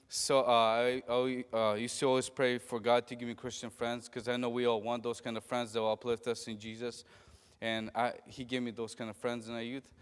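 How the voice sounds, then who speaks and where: A man's voice: mid-range voice, clean tone. A man encouraging a church youth group and introducing a guest speaker, with fillers and restarts. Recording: long church hall reverb.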